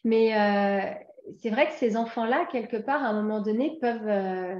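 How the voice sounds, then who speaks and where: A woman in her forties from France, a guest talking casually in an interview and pausing often with fillers and restarts. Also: on the high side, darkish tone, roomy sound, muffled recording. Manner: hesitant, many fillers and restarts.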